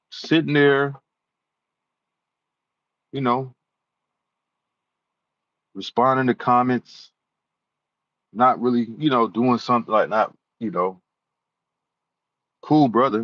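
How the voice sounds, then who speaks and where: A man's voice: medium pitch, a bit bright, no room sound. A man talking casually to his viewers, rambling and repeating himself with plenty of "you know"s. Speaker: YouTuber. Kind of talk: casual talk to camera. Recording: dull lo-fi sound.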